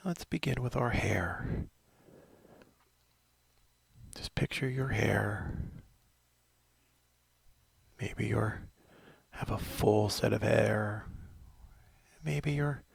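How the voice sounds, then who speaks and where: A middle-aged man guides a body scan meditation in a deep, raspy, husky voice. He speaks very slowly and soothingly, often trailing off into a whisper. The sound is close and dry. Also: dry recording.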